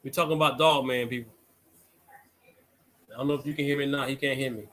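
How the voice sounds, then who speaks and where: A man's voice: medium pitch, fairly even intonation, fast talker, a bit bright. A man hosting a casual talk about cryptids, loosely prompting his guest. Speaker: channel host. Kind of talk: casual chat interview.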